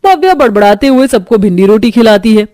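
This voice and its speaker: A woman's voice: a little high, expressive, brisk, dark tone. A woman storyteller reading scripted narration of a moral tale.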